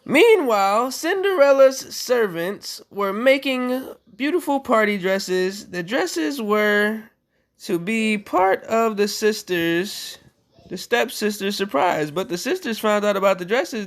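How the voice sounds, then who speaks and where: A woman reading a bedtime story aloud in an animated style, with casual, playful and mocking asides. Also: medium pitch, unhurried.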